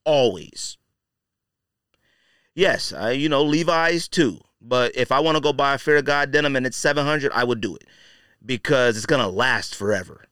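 Clear-voiced man, blunt podcast host. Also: medium pitch, fairly bright tone, dry recording, clean studio sound. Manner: conversational and slangy, frequently saying "you know" and "man". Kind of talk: casual opinionated commentary.

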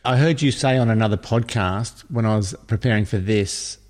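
A man's voice: fairly low pitch, normal speed, darkish tone. A man hosting a podcast interview in a casual, conversational way.

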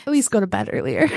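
Female co-host, nasally congested, swooping expressive delivery, easygoing banter, dry studio-close sound. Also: medium pitch, vocal fry, slightly rough, dry recording. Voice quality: stuffed-up, congested. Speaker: radio or podcast host. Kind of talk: casual chat, joking.

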